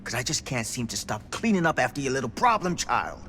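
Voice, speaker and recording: An urgent, dramatic old ex-sheriff. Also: male voice, medium pitch, sing-song, brisk, a bit bright, faint music behind. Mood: agitated. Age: older. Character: gruff, urgent, haunted old former small-town sheriff. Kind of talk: cinematic horror game acting.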